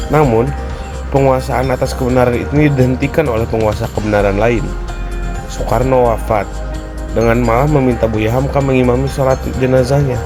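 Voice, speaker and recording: Young man narrating over music. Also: medium pitch. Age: young adult. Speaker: university student. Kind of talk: scripted spoken-word essay narration.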